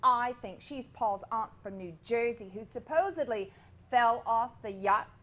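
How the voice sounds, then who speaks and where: A woman's voice: a little high, a little slow, a bit bright. An actress playing a chatty, good-natured housewife and mother in a staged comedy reading.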